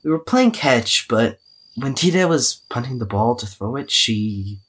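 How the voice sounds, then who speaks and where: A man's voice: mid-range voice, animated, unhurried, fairly bright tone, a little hiss or hum. An actor playing a weary, self-effacing young man who hesitantly records a wry, cynical audio diary in a drama podcast. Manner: hesitant, musing, wryly cynical.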